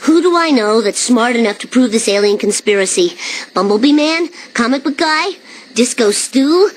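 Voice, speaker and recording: Cheeky high-voiced cartoon boy. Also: expressive, normal speed. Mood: searching, urgent. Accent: American. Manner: flippant, wisecracking. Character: cheeky, irreverent ten-year-old cartoon boy. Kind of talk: animated game cutscene acting.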